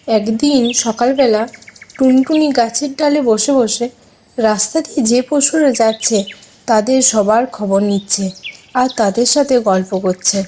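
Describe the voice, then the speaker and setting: A woman narrator reads a children's animal story. Her voice is fairly high, with background noise behind it. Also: unhurried, warm tone.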